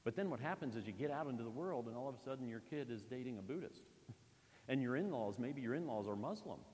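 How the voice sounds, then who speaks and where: A man from Pennsylvania preaching a conversational sermon in a church, with lively asides to the congregation. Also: mid-range voice, fast talker, in a large hall. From Pennsylvania, USA. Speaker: pastor.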